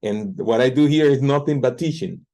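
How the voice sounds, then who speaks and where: A man's voice: mid-range voice, expressive, normal speed, mellow. A man in his forties with a Dominican Spanish accent, introducing himself earnestly as a language tutor from his home teaching office, speaking into his computer camera. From Dominican Republic. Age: 40s. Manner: earnest, with restarts and fillers.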